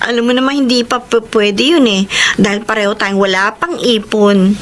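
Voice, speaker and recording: A woman's voice: mid-range voice, fairly even intonation, normal speed, natural voice. A woman with a Filipino accent playing a feisty, honest young bus conductor in a TV drama. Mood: earnest, casual. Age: young adult. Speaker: actress. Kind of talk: TV drama acting. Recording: noisy location sound.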